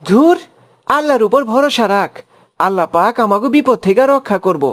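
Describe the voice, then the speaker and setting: A woman's voice: fairly high pitch, animated, normal speed, lightly acted. A woman voicing a caring, devout young village boy in a cartoon, earnest and childlike, with a rural Bangladeshi accent. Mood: earnest, reassuring.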